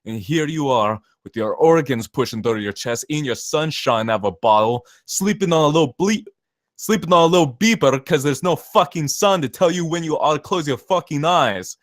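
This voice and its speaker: A man's voice: on the high side, animated, normal speed, no echo, studio quality, natural voice. A man at a screenplay table read playing a brash, cynical saboteur who talks in emphatic, profane slang. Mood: bitter, impassioned. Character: cynical, brash zealot saboteur posing as safety inspector.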